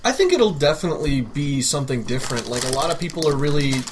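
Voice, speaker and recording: A man with a medium-pitched voice hosts a casual podcast. He explains things conversationally. The recording has clear background noise and small clicks. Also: very animated, fast talker. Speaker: podcast host. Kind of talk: casual chat.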